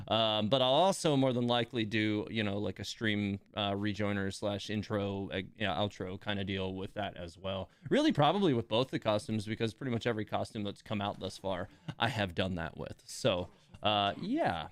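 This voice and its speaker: A man's voice: lowish voice, normal speed, clear, resonant tone, dry recording. A man hosting a game livestream, chatting casually and informally to his viewers in a rambling way.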